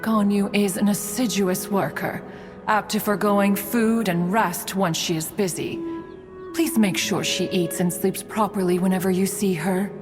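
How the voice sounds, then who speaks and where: A woman's voice: medium pitch, fairly even intonation, measured pace, in a large hall, over music, lightly acted. A woman voicing a dignified ancient female crane adeptus in a game, speaking formally and referring to herself as "one". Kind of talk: game voice acting.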